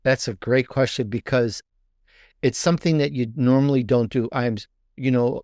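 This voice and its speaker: Male interview guest, mid-pitched, conversational and halting, rephrases as he goes, close dry recording. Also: a little mellow, no echo. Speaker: podcast guest, author and podcaster.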